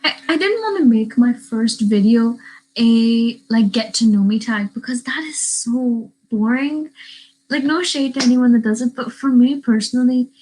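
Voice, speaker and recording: A woman's voice: a little high, moderate pace, darkish tone, slight background noise, rough recording. A teenage girl from Northern Ireland chatting casually on a livestream, rambling and answering questions from the live chat. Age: teens. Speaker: YouTuber. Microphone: webcam.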